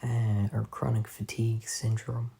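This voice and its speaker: Male podcast host, hoarse and halting; dark, mellow tone. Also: fairly low pitch, completely flat delivery. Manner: halting, with many fillers. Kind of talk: casual Q&A chat.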